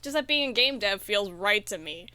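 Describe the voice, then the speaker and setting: Bright, crisp-voiced woman in casual interview. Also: mid-range voice, lively intonation, normal speed. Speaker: podcast guest, indie horror game developer.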